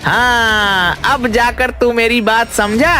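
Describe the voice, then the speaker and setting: A woman's voice: fairly high pitch, fairly even intonation, takes it slowly, bright, forceful tone, soft background music. A woman voicing a fussy, stubborn, foolish village man in a cartoon, with a whiny, insistent, exaggerated delivery. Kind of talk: exaggerated Hindi cartoon dialogue.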